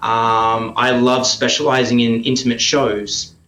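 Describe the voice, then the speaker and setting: A man with an Australian accent giving conversational interview answers in a halting way, as if thinking aloud. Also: mid-range voice, flat delivery, a bit bright, roomy sound, soft background music, rough recording. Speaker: radio play producer and performer, interview guest.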